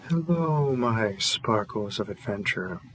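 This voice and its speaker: A man's voice: fairly low pitch, lively intonation, slow, fairly bright tone, slight background noise, low-quality recording. A man who makes audio roleplays, talking casually and off the cuff to listeners in an earnest, hesitant way with trailing asides. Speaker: audio roleplay creator and performer. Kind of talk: casual, unscripted thank-you to listeners.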